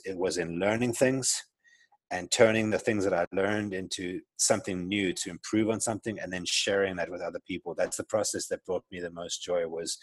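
Low-pitched South African man, middle-aged, reflective podcast guest, dry close sound. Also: no room sound. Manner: reflective, many restarts and fillers. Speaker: guest, entrepreneur. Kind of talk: casual interview conversation.

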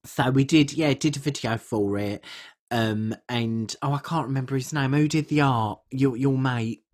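A woman's voice: very deep voice, normal speed, a little mellow. A British woman co-hosting a podcast in casual chat. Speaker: podcast co-host.

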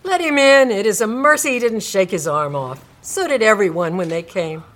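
A woman narrating a classic story in a live radio reading, expressive and dramatic, lingering on descriptive words. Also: mid-range voice, moderate pace.

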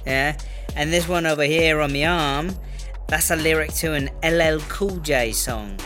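A mouthy British female inmate for a comedy audio drama. Her voice is bright and forceful, and she rambles in slang with defensive, foul-mouthed bluster, like a would-be gangster. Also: low-pitched, unhurried, faint music behind. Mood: cocky, casual.

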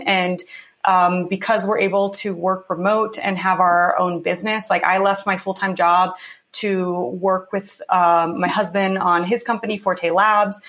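A young woman from California talks through her own story as a podcast guest. Her tone is reflective and unhurried, and she often pauses on fillers and restarts her sentences. Her voice sits at a middle pitch. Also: fairly bright tone. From California, USA. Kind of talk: casual interview.

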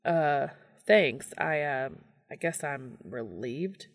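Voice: medium pitch, animated, slow, big-room sound. A reader playing a casual, slightly awkward male baker in an audiobook story, with informal, hesitant phrasing. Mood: awkward, relieved.

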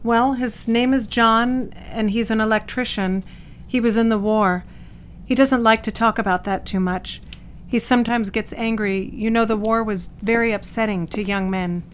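A woman's voice: fairly high pitch, steady tone, normal speed, slight background noise, natural voice. A woman playing a placid, docile young married patient who speaks politely, simply and vaguely in a period audio drama.

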